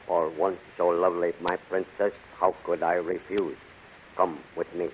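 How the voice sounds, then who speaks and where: An actor playing a servile, obsequious exiled thief in 1930s radio serial style. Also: male voice, low voice, level tone, moderate pace, lightly acted. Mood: fawning. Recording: hissy, muffled 1930s radio recording.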